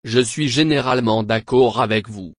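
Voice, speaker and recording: A man's voice: medium pitch, moderate pace, no room sound, studio quality. A man reading short French answers for a language lesson in a plain, scripted way. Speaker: French dialogue reader.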